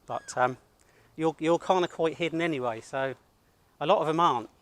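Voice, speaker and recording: British man, slightly high voice, blunt, self-assured, freelance videographer, casual outdoor narration and chat. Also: moderate pace. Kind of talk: narrating to camera and casual conversation. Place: outdoors at a college car park.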